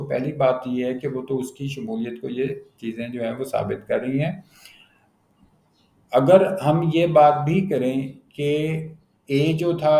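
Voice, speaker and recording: A man with a Pakistani accent giving an explanatory lecture in a halting manner, often pausing to check that listeners follow. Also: medium pitch, dark tone. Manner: halting, with frequent check-in tags. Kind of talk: explanatory law lecture, Urdu mixed with English terms. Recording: roomy, echoing sound.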